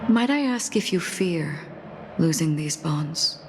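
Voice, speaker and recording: A woman playing a calm, enigmatic, forgetful stranger in a video game, speaking in a formal, soft, reflective way. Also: sits low, takes it slowly, noisy background, exaggerated voice. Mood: wistful, searching. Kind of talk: scripted game voice acting, slow and measured. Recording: music behind the voice. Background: music.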